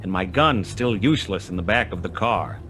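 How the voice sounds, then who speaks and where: A man's voice: low-pitched, animated, normal speed, fairly bright tone, soft background music, low-quality recording. A man playing a hardboiled detective, narrating a noir radio play in a wry, terse, dramatic way. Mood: tense, wry. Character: hardboiled male detective narrating his brush with death.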